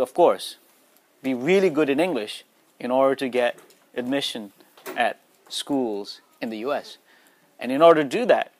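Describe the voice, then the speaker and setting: A man's voice: mid-range voice, no echo. A man in his forties from Nepal, native speaker of Nepali, giving an earnest motivational talk to schoolchildren and often checking in with them. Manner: earnest, asks the audience questions.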